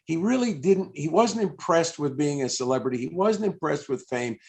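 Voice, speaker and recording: Man interviewing, fairly high voice, halting delivery. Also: animated, darkish tone. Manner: halting, rambling, thinking aloud. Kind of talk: conversational interview, long musing questions. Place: video call. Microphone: video call microphone.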